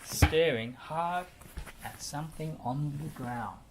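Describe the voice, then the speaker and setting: A man reading a children's storybook aloud in an expressive narrating style. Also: a little high, takes it slowly, noisy background. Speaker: storybook reader.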